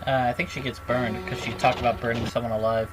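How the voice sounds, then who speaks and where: Low-voiced woman game streamer. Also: steady tone, fast talker, noisy background. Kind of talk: casual playthrough commentary. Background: game music.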